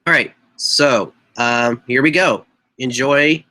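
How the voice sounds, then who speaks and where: Hoarse young man with a cold. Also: medium pitch, slow, pushed and bright. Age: young adult. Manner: rambling, frequent throat clearing and fillers. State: hoarse from a cold, stuffy nose. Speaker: live chat host. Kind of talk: casual live-stream chat. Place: small bedroom with posters on the walls. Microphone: webcam mic at desk distance.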